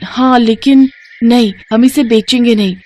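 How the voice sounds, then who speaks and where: A woman's voice: fairly high pitch, steady tone, a little slow, darkish tone, voice pushed up, natural voice. A woman voicing a poor, protective big sister in a moral story, speaking in an earnest, pleading way. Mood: tender. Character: poor, caring big sister scrap-picker girl. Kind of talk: moral story character acting.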